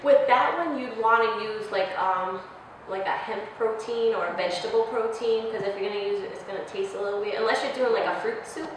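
A young woman chef giving a casual, animated cooking demonstration to a class, speaking informally with lots of "like". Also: medium pitch, a little fast. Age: young adult. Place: large classroom, indoors. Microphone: distant camera microphone. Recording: echoey room, distant mic, noisy.

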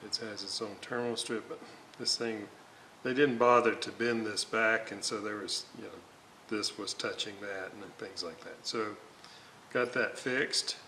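Slow, low-voiced man at a workbench. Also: steady tone, pushed and bright, a little hiss or hum, poor audio. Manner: slow, muttering to himself while working. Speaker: radio restorer. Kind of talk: hands-on repair walkthrough. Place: workbench indoors.

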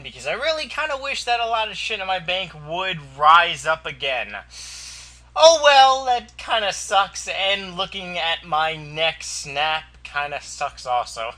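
A young man ranting casually about an online game on his own vlog, loud and profane, with a voice that keeps cracking, recorded on a built-in mic in a small room. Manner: loud, profane, laughing, jumpy pitch. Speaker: gaming vlogger.

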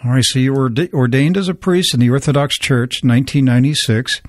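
A man's voice: medium pitch, a little fast, a little mellow, dry recording, studio quality. A man hosting a talk radio show, reading a scripted intro and then interviewing with earnest, stumbling restarts.

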